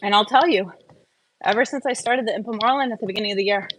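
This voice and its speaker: A woman's voice: mid-range voice, racing, a little hiss or hum, low-quality recording. A woman chatting casually and candidly in a personal vlog, opinionated and prone to rambling off on tangents. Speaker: wellness vlogger.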